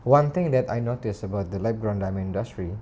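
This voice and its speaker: A man's voice: fairly low pitch, fast, darkish tone, slight background noise. A man from Indonesia hosting a casual interview, deferential, often murmuring agreement and repeating his words. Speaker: podcast host, interviewer.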